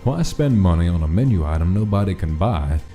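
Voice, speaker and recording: A man reading scripted story narration as the narrator, with soft music behind him. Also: sits low, animated, brisk.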